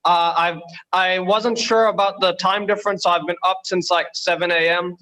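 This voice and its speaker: A man's voice: sits high, fairly even intonation, normal speed, sharp tone, low-quality recording. A man from Bangladesh doing conversational stand-up, hesitant and understated. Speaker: stand-up comedian.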